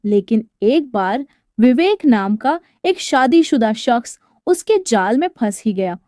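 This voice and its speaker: A woman's voice: a little high, animated, slow, dark tone, no room sound. A woman narrating a scripted story in a dramatic storytelling style, recorded as a close voice-over.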